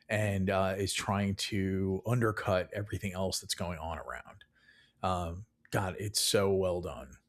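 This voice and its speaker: A man giving a casual, rambling film review with frequent ums and self-corrections. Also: low-pitched. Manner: rambling, many ums and restarts.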